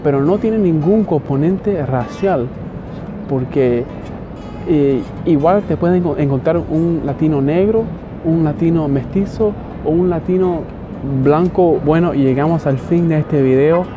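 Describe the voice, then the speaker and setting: A young man from Miami, vlogging casually outdoors and talking with a hesitant, halting manner full of restarts. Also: fairly high pitch. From Miami, United States. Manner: hesitant, many restarts and fillers. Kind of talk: casual talk to camera. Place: outdoors in a city. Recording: dull lo-fi audio with loud background music.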